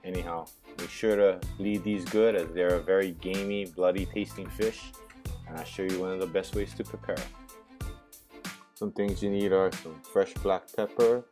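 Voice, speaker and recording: Man, very deep voice, friendly recipe voice-over host. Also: steady tone, moderate pace, mellow, faint music behind. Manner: friendly, clear, recipe-style instructions. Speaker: cooking segment host. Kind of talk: instructional cooking voice-over.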